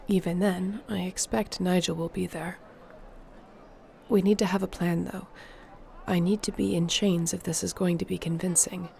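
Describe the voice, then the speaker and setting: A woman playing an earnest, determined fantasy sorceress in an intimate audio roleplay, speaking in a measured, softly formal way. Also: fairly low pitch, steady tone, creaky, a little hiss or hum. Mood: intent, planning.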